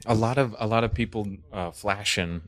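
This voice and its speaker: A man's voice: fairly low pitch, normal speed, fairly bright tone, soft background music. A man co-hosting a comedy podcast, improvising banter and playing along in a placating way. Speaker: podcast co-host.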